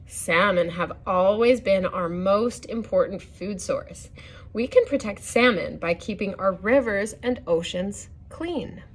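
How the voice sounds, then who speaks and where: Female story time reader for young children, medium pitch, gently teaching as she reads and chats to listeners. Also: unhurried. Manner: gently instructive, puts questions to the listeners. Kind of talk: reading a picture book aloud with asides to children.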